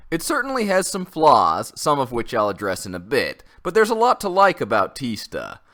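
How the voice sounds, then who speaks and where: A man's voice: mid-range voice, sharp tone. A man narrating a scripted review in an opinionated, conversational way. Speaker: comic and manga reviewer.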